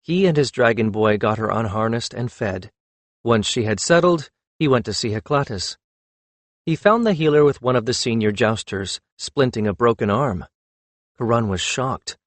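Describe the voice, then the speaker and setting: A man's voice: mid-range voice, expressive, moderate pace, no echo. A man reading a scripted fantasy audiobook as narrator.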